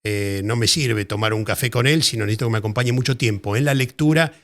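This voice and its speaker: A man with an Argentine Spanish accent, a writer being interviewed, talking in a thoughtful, halting way and often repeating words as he searches for phrasing. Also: medium pitch, a bit bright. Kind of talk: casual interview conversation.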